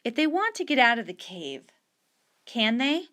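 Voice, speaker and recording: A woman's voice: fairly high pitch, very animated, normal speed, pushed and bright, voice pushed up. A woman voicing an earnest, curious young boy. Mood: questioning. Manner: persistent, questioning.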